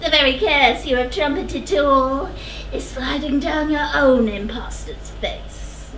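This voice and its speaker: An actress playing a blind old prophet in a stage verse drama, declamatory, foreboding and taunting. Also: female voice, sits high, measured pace, fairly bright tone. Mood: defiant. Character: blind old female seer, ominous and defiant. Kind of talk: theatrical verse stage acting. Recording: echoey theatre, distant mic, faint noise.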